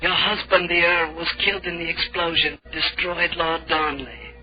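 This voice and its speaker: An actor playing a solemn messenger with tragic news in old radio drama style. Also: male voice, a little high, steady tone, normal speed, close to an everyday voice. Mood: grave. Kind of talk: 1940s radio acting. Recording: dull old radio recording, loud music.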